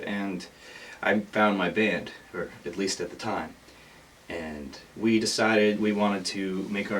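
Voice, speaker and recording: An American man speaks in a lowish voice, a little away from the mic, with faint noise around him. He sounds earnest and pauses as he shares a personal biography. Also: recorded from a distance, low-quality recording. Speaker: musician, singer-songwriter. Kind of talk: personal biography told for an audition.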